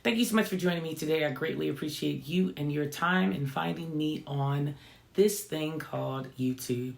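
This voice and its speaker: A woman of about fifty with a low voice she calls not feminine, hosting a reflective vlog and talking conversationally, often tagging lines with "right?". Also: normal speed, gravelly. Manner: conversational, often adding "right?" tag questions. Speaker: channel host. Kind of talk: reflective motivational vlog talk.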